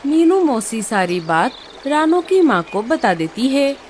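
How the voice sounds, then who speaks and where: A woman's voice: high-pitched, very animated, a little slow, a little mellow, slight background noise. A woman giving scripted narration for a cartoon story. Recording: music under the voice.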